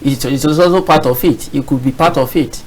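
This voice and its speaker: A man's voice: medium pitch, fairly quick, a little mellow, low-quality recording. A man from southeastern Nigeria with a Nigerian accent, speaking insistently as a studio guest in a political discussion and often repeating himself.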